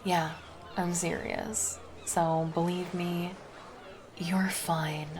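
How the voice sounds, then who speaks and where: Woman plays flirty, caring female lifeguard for ASMR roleplay; unhurried, lowish voice, soft and reassuring. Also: flat delivery. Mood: soothing, reassuring. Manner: soft, intimate, casual, reassuring. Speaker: roleplay voice performer. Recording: background noise under the voice.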